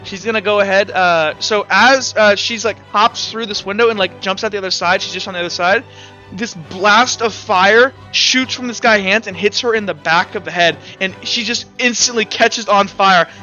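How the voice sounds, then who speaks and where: High, bright-voiced young man running a game. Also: moderate pace. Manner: rambling, heavy use of "like". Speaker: game master. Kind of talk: narrating a tabletop game. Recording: dull lo-fi sound with faint music.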